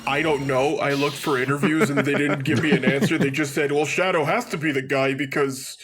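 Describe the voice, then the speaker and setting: A man's voice: a little high, steady tone, a little fast. A man giving casual game commentary as a co-commentator on a let's play. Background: game music.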